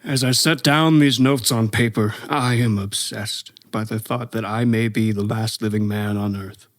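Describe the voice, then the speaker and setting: An actor playing an exhausted, haunted professor who survived an invasion, delivering a halting, brooding radio play monologue. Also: male voice, fairly low pitch, fairly even intonation, dry recording, clean studio sound, lightly acted. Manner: halting, brooding, stammering over words.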